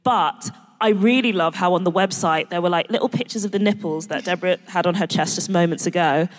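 A woman's voice: fairly low pitch, brisk. A bubbly woman comedian chats on a live stage, giggling and slipping into playful slang. Her voice carries the long reverb of a large concert hall. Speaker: guest comedian.

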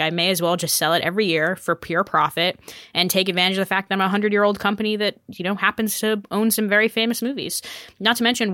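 A woman's voice: lowish voice, a bit bright, dry recording, clean studio sound. A woman giving conversational business commentary as a podcast panelist.

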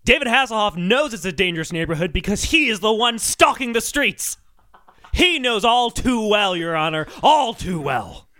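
A man co-hosting a comedic podcast, bantering in an animated, mock-argumentative way. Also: high-pitched, normal speed.

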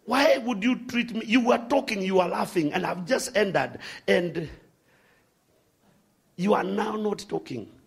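A man preaching a sermon to a congregation, animated, swinging from shouts to hushed asides. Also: high-pitched, a little slow, vocal fry, breathy edge.

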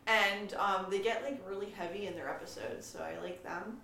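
A young woman guesting on a podcast, chatting casually in a slangy, excitable way. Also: mid-range voice, normal speed. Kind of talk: casual chat. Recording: echoey room, clear background noise.

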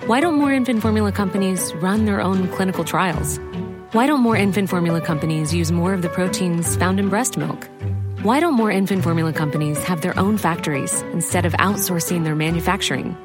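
A woman's voice: on the low side, a little fast. A woman announcer delivering a scripted advertisement voice-over. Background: music.

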